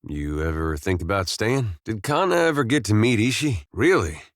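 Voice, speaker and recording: An actor voicing an easygoing, wry and weary farmer turned soldier in a video game, with a folksy, plain-spoken drawl. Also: male voice, lowish voice, very animated, moderate pace, dry recording, studio quality. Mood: curious, wry. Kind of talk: video game companion dialogue.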